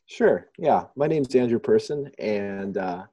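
Dark, husky young man answering thoughtfully. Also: fairly low pitch, animated, unhurried, dry recording, clean studio sound. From Thailand. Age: young adult. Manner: thoughtful, rambling, hesitant. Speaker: student guest. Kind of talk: remote interview answers. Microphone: remote call.

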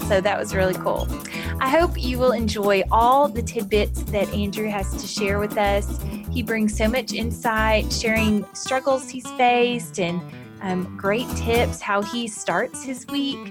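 A woman hosting an interview podcast, introducing a guest and asking upbeat, encouraging questions. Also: medium pitch. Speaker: podcast host.

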